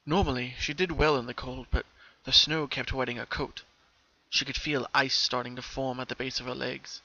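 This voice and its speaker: A narrator giving an expressive, careful dramatic reading of a story, as in an audiobook recorded at home. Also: mid-range voice, moderate pace, dull audio.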